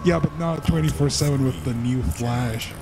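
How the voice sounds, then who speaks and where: A man's voice: mid-range voice, normal speed. A man bantering and teasing friends in casual voice chat while gaming. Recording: game voice chat with game music behind.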